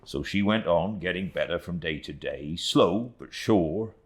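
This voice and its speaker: Man acting a slow, low-voiced old fisherman. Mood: tender, earnest. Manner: slow, emotional, halting storytelling with swelling and hushed moments. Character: grieving, devoted, rustic old English fisherman recounting his niece's ordeal.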